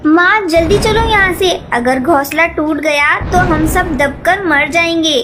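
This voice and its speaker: A woman's voice: very high-pitched, unhurried, background noise. A woman voicing an anxious, earnest young female bird in a children's cartoon story.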